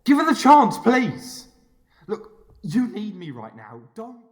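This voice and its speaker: A man's voice: high-pitched, huge pitch swings, takes it slowly, heavily acted. An actor playing a man's confident inner self in an audio drama, earnest and pleading, with an emphatic, coaxing manner. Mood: desperate pleading.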